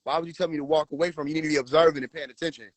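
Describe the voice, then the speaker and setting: High-voiced preachy man lecturing his viewers. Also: fairly even intonation, racing, a crowd in the background. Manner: forceful, preachy, addresses viewers directly. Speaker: livestream host. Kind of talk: casual livestream talk.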